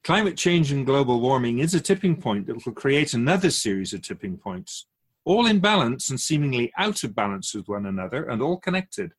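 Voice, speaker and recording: A man playing an otherworldly, patient keeper of souls in a radio drama, asking measured, probing questions in a husky voice. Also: medium pitch, very animated. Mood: calmly instructive.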